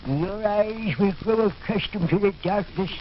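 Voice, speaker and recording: An actor playing a crafty, half-mad old male servant with a slow, sinister manner in an old radio horror play. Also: sits high. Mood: sinister, calm. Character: crafty, demented-looking old manservant of a haunted house. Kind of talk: 1940s radio horror acting. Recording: muffled, noisy old radio recording.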